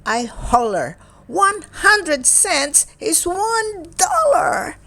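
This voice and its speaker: A woman reading a picture book aloud for young children in a deliberate, playful way, in short separated phrases. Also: very high-pitched, big pitch swings, vocal fry, pushed and bright. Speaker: children's storybook reader.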